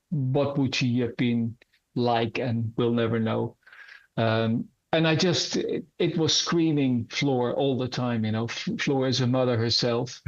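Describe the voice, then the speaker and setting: A man being interviewed about his music, answering in an earnest, animated way. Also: medium pitch. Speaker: musician guest. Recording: dull lo-fi audio.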